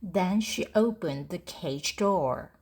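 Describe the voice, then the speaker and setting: A woman reading a children's story aloud in short, deliberate phrases for language learners. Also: on the low side, darkish tone, breathy edge. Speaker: storybook narrator.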